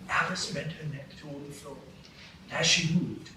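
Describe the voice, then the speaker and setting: A man narrating a storybook tale in a live radio play, telling it in a clear, even way. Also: a little high, moderate pace. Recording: roomy stage from a distance, hiss.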